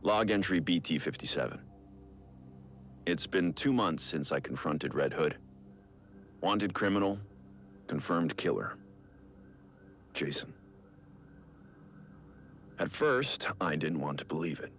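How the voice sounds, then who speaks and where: A super deep brooding vigilante, middle aged, grave and guilt-ridden game audio log, kinda muffled recording. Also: male voice, lively intonation, fairly bright tone, exaggerated voice. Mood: somber, measured. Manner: grave, measured, restrained. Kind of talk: scripted audio log narration for a video game.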